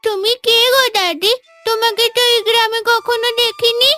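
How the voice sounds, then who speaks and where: A woman's voice: very high-pitched, fairly even intonation, moderate pace, pushed and bright, faint music behind. A woman voicing an earnest, innocent little village girl in a fairy-tale cartoon, with a thin childlike voice. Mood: tearful, earnest.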